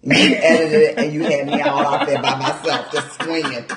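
A woman's voice: low voice, fairly even intonation, normal speed, over a noisy crowd. A woman in her late fifties co-hosting a podcast with casual family banter, recorded with a roomy echo. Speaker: podcast co-host. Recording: roomy, echoing sound.

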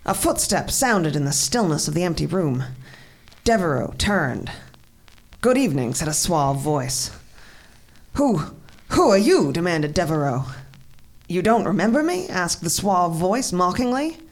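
An actress playing a novelist who reads a melodramatic mystery manuscript aloud, measured and dramatic. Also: female voice, deep voice, big pitch swings, exaggerated voice, low-quality recording. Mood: tense, dramatic. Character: novelist reading her melodramatic manuscript aloud, voicing characters. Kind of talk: dramatic read-aloud narration.

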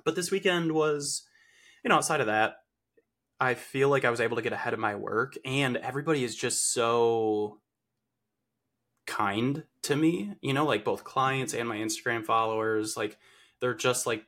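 Man, young adult, congested, slightly nasal, bright tone, relaxed chatty podcast host. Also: mid-range voice, normal speed. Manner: relaxed and chatty, many fillers. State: congested, a bit nasal from a cold. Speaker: fitness coach and podcast co-host. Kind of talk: casual podcast chat.

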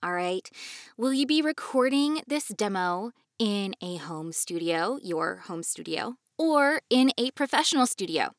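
A woman's voice: medium pitch, lively intonation, measured pace, no room sound, clean studio sound. A woman co-hosting an instructional podcast, chatty and explanatory, stressing points by repeating words. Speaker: podcast co-host.

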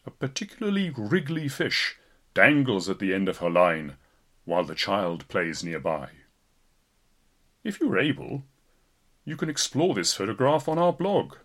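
A man's voice: lowish voice, wildly swooping pitch, unhurried, long pauses, a bit bright. A man reading a written blog post aloud as scripted narration, in the role of a volunteer narrator. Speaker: volunteer reader of an audio blog.

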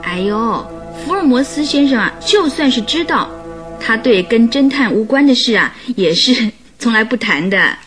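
An actress playing a chatty, gossipy, playful young newlywed wife in a radio drama, with an exclamatory way of talking. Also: female voice, on the high side, expressive, normal speed, a bit bright, faint music behind. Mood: chatty, eager.

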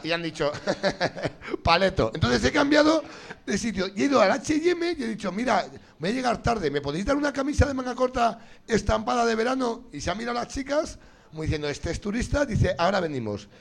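A man's voice: extremely high pitch, big pitch swings, brisk, vocal fry. A man hosting a live comedy game show, teasing and swearing as he jokes with the audience. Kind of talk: loose stand-up banter with the audience.

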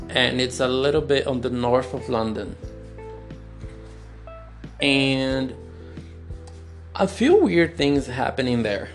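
A man's voice: medium pitch, fairly bright tone. A young Brazilian man with a Brazilian accent, a non-native English speaker, telling a personal story on his podcast in a candid, searching way, recorded on a phone. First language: Portuguese. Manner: slow, searching, candid, with pauses and restarts. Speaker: podcast host.

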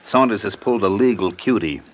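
A sardonic, hard-boiled creditor from an old radio crime show, played by a man. He speaks in a low voice with impatient, wisecracking slang, shrewd throughout. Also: fairly quick, close to an everyday voice. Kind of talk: old-time radio crime drama acting.

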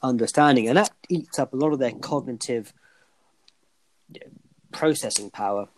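A man's voice: mid-range voice, normal speed, noisy background. A man co-hosting a podcast discussion, speaking in formal, elaborate sentences with frequent restarts, losing his voice. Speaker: podcast co-host.

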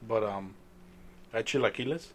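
A man chatting casually with friends, animated and profane, with Spanish as his first language. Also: lowish voice, normal speed, a little hiss or hum. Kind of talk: casual chat among friends.

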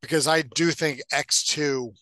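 A man's voice: a little high, fairly even intonation, unhurried, sharp tone, no echo. A man co-hosting a podcast, chatting casually in an informal, wry way. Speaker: podcast co-host.

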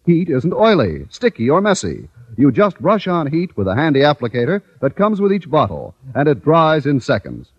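A male announcer from golden-age radio with a slightly high, husky voice. He reads scripted copy with animated, emphatic polish. The recording is dull and aged. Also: moderate pace. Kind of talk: 1940s-50s radio ad and scripted narration. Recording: muffled old-time radio recording.

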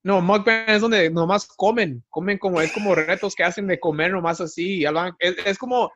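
A man of about thirty, chatting casually as a podcast guest and mixing English words into halting explanations. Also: sits high, fairly bright tone, lo-fi sound. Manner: halting explanations, mixes in English words, often seeks agreement. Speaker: podcast guest, stand-up comedian. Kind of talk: casual chat.

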